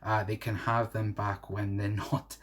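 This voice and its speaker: A young Welsh man in his twenties hosts a casual livestream and chats loosely through viewer comments. His voice sits a little low. He rambles and fills pauses with "uh" and "to be fair". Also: little pitch movement, fairly bright tone. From South Wales. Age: 20s. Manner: rambling, many fillers and "to be fair". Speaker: livestream host.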